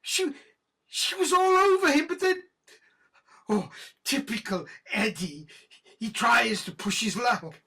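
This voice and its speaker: Casting: embittered British policewoman, middle-aged, bright but rasping edge, volatile and halting, audio drama. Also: fairly high pitch, animated, heavily acted. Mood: anguished confession. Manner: halting, bitter, emotionally volatile. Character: embittered, guilt-ridden senior female police detective, obsessive and weary. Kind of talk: naturalistic audio drama acting.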